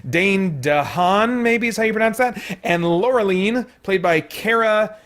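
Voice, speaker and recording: A man hosting a casual spoken movie review, rambling along with jokey, animated asides. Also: high voice, a little slow. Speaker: film reviewer and host.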